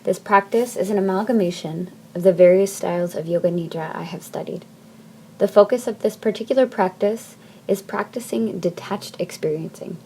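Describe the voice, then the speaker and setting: Soft, mellow woman guiding deep relaxation. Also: a little hiss or hum, low-quality recording. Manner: soft, soothing, measured phrasing with long pauses. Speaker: yoga nidra teacher. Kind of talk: guided meditation, slow instruction.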